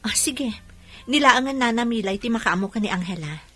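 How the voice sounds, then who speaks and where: A woman's voice: fairly high pitch, expressive, brisk, bright, forceful tone. A woman acting a polite female employee in a Filipino radio drama, with a Filipino accent. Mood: matter-of-fact. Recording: music under the voice.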